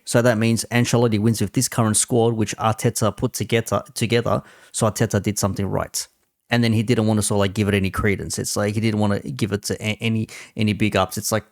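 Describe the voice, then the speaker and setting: A man with a low, gravelly voice delivers off-the-cuff sports commentary in a dry, close recording. He argues sarcastically, repeating himself and posing rhetorical questions. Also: no room sound. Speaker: host giving football opinion.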